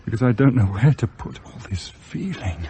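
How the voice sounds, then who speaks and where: Radio drama actor as young British suitor: ardent, teasing, blunt, lively swoops in pitch, faint noise behind. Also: male voice, moderate pace, close to an everyday voice. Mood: tender, ardent. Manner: teasing, questioning, impulsive. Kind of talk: radio play acting.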